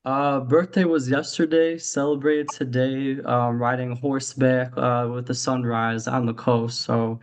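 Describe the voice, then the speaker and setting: A man in his thirties, a returning contestant, answering briefly and relaxed, with small fillers. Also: mid-range voice, a little slow, few pauses, slight background noise. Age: 30s. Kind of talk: casual chat.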